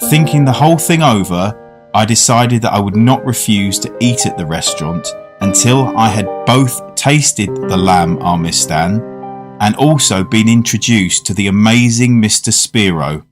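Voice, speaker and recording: An actor playing a polite, curious gentleman diner in a radio drama, narrating and conversing in a measured, formal way. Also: on the low side, over music, lightly acted. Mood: reflective. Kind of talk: radio drama acting and first-person narration.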